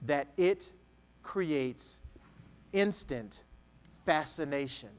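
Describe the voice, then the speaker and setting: Male keynote speaker from Texas, high and lively delivery, playful with the crowd, dramatic pauses. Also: clean tone. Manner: animated, dramatic pauses, slow emphatic dictation; playful, jokes with the audience. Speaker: motivational speaker. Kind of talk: keynote speech to an audience.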